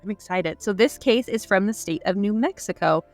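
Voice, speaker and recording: A woman's voice: medium pitch, normal speed, soft background music. A woman co-hosting a podcast in upbeat, chatty casual conversation, laughing easily. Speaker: podcast co-host. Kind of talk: casual chat.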